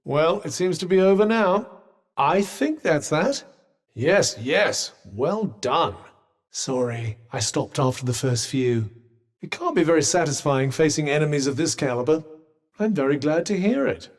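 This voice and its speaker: A man's voice: a little high, lively intonation, unhurried. A man with a British accent voicing a haughty, sardonic magical cuff companion in a video game, posh and understated in his dry, condescending delivery. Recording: voice with long reverb.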